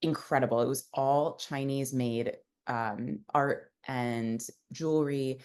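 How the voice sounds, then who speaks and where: A woman's voice: a bass voice, fairly even intonation, takes it slowly, a little mellow, smooth. A young woman, a founder, telling her story in a casual interview, rambling with many fillers. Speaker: founder and guest.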